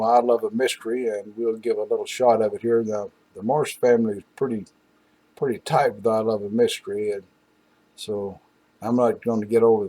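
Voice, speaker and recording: An older man hosting an old-time radio show, reminiscing casually in a folksy, halting, rambling way with asides. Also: medium pitch, warm tone, slightly rough, low-quality recording.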